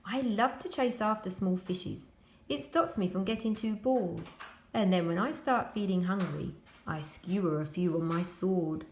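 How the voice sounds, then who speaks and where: A woman's voice: mid-range voice, a little slow, echoey room, slight background noise, natural voice, poor audio. A woman voicing a bloodthirsty, easily bored swordfish in a matter-of-fact storybook recital.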